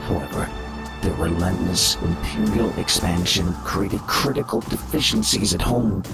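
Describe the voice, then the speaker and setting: A learned robot professor, acted by a man, with a very low voice full of creak. He speaks formally and haltingly, like a mournful old-world scholar in a sci-fi audio comic, with prominent music underneath. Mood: grave, explanatory. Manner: formal, halting, elaborate wording. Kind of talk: dramatic expository narration in a fan audio comic.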